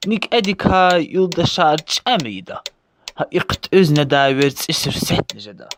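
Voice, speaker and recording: A performer voicing a lively cartoon character who talks to friends in an animated, exclaiming way. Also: mid-range voice, measured pace, background noise. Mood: chatty.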